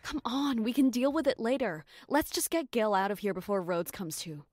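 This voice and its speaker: A woman's voice: on the high side, lively intonation, normal speed, darkish tone. An actress playing a tense, protective young female thief in an audio drama, speaking with urgency. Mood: urgent, concerned. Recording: music bed behind dialogue.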